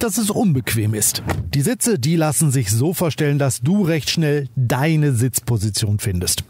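A man's voice: fairly high pitch, darkish tone, slight background noise. A man hosting a car podcast, narrating a scripted review in a chatty way and speaking straight to the listener. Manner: chatty, addresses listener directly.

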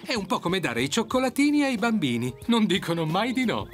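A male narrator tells a children's cartoon story in a playful way. His mid-pitched voice moves up and down in a very lively manner over clear background music. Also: a little fast.

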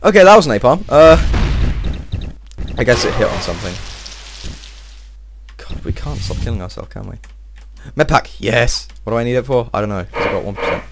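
A young man giving casual, excitable let's-play commentary, swearing and talking to himself, his voice a little strained. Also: on the low side, background noise. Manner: excitable, swears often, talks to himself while playing. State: slightly strained voice. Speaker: gameplay video host.

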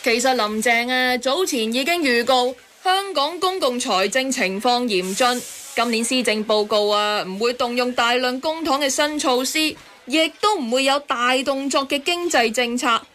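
High-voiced, well-informed woman. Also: expressive, moderate pace, hardly any pauses, a bit bright, a little hiss or hum. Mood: pointed, explaining. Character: well-informed, critical woman explaining the news. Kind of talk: radio drama dialogue.

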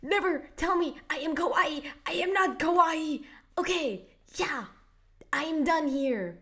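A cutesy anime-girl voice, pitched high and sugary, as a young woman puts it on. It drawls slowly and trails off into giggly ums. The talk stays quiet, as in a room late at night. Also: animated, exaggerated voice. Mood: exasperated. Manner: hesitant, giggly, trailing off into fillers.

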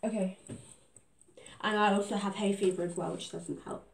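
A woman's voice: medium pitch, fairly even intonation, moderate pace, gravelly, echoey room. A teenage girl with a bad cold, chatting casually and self-deprecatingly in her living room before and after singing. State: congested from a cold. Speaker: vlogger singing a cover. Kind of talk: casual chat and a cappella singing. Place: living room at home, indoors.

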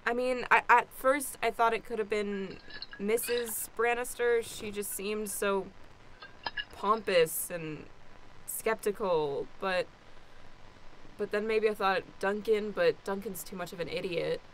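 An actress plays a nervous young heroine of a radio play. She speaks at a medium pitch, halting and natural, stumbling over her words. There is faint noise behind her. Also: steady tone, a bit bright, poor audio. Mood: uncertain. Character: anxious, hesitant young woman stranded out of time. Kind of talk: improvised radio play acting.

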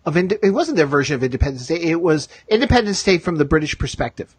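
A man's voice: on the high side, sing-song, quick pace, husky, dry recording. A man hosting a casual fan discussion, circling around his questions with asides. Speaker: host of a TV fan discussion show. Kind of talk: casual chat.

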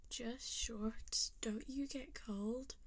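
A woman's voice: on the high side, fairly even intonation, extremely slow. A woman reading fan comics aloud in an informal way, as an amateur dubber.

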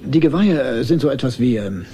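A man's voice: medium pitch, expressive, brisk, warm tone, slight background noise, lightly acted. An actor playing a flustered father of a young boy, hesitant and stammering in his explanations, in dubbed film dialogue. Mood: flustered, patient.

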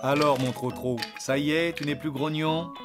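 A man voicing a patient, affectionate father donkey in a children's cartoon, gentle, reassuring and expressive. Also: a little high, normal speed, over loud music, close to an everyday voice. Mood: gently teasing.

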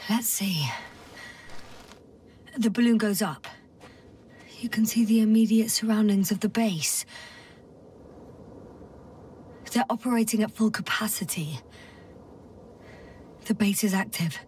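Actress as a breathy, weary woman space explorer, slightly distant. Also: mid-range voice, unhurried, long pauses, darkish tone, a little hiss or hum, lightly acted. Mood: focused, observing. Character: weary, stubborn female space-mission scientist exploring a planet. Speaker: game protagonist, doctor on a space crew. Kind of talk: naturalistic video game voice acting.

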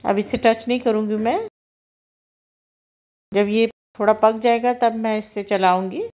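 A woman, the host of a cooking channel, calmly narrating each step of a recipe as she does it. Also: fairly high pitch, measured pace, narrow, tinny audio.

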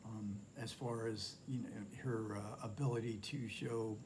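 A man's voice: fairly low pitch, steady tone, unhurried, background noise. A man in his sixties giving a casual film review at home, speaking with many fillers and restarts. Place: home living room, indoors.

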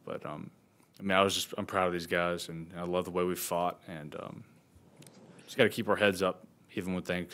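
Young male college quarterback at a press conference, deep voice. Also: a bit bright. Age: 20s. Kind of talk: postgame press conference answers. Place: press conference table indoors.

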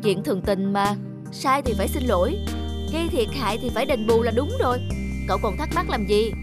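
A woman's voice: on the high side, lively intonation, brisk, loud music behind the voice, lightly acted. A woman voicing a stern but kind-hearted young businessman in a cartoon, speaking in a firm, lecturing way. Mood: explaining. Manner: firm, lecturing, matter-of-fact. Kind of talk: cartoon voice acting.

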